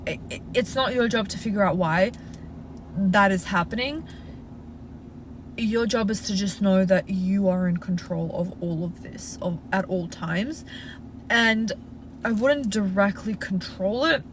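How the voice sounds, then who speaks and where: Young Australian woman host with a Russian first language, chatty and reassuring, mid-range voice, steady car noise behind her. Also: mid-range voice, unhurried. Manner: chatty, frequent "like" fillers, reassuring advice-giver. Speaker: livestream host answering viewer questions. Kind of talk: casual live Q&A. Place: inside a parked car.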